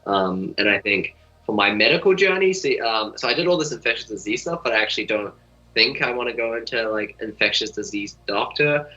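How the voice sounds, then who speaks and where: A young man, a medical student answering interview questions in a relaxed, rambling way full of fillers. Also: lowish voice, fairly quick. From New Zealand. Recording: dull lo-fi audio.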